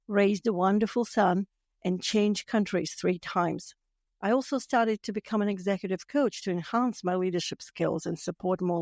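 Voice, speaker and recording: A woman in her fifties from Latvia with a Latvian accent, hosting a podcast in a measured, carefully read monologue. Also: medium pitch, darkish tone, dry recording, clean studio sound. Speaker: podcast host. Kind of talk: scripted solo podcast monologue.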